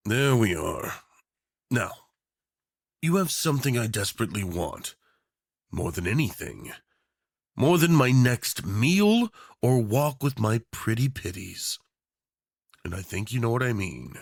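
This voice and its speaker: A man's voice: mid-range voice, sing-song, unhurried, creaky, pauses often, no echo, clean studio sound, heavily acted. A man playing a charming, obsessive tyrant in a romantic villain roleplay, drawling theatrically with mock politeness, flirtation and playful menace. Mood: seductive, obsessive.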